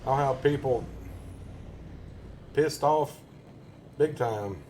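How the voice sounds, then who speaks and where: A man's voice: medium pitch, very slow, darkish tone, a little hiss or hum. A man with a Tennessee Southern accent, chatting casually and jokingly with viewers during a game live stream. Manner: joking, rambling, chuckles often.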